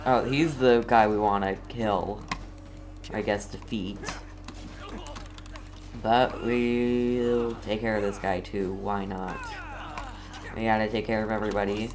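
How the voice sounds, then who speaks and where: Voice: medium pitch, fairly even intonation, takes it slowly, pauses often, darkish tone, slight background noise. A game streamer giving casual, offhand reactions during a playthrough.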